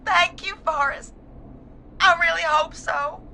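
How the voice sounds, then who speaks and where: A shaken, tearful woman caller in a game drama. Her voice sits very high and sounds fragile. She speaks haltingly, full of grief. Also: expressive, sharp tone, slight background noise, full character voice. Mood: grieving, shaken.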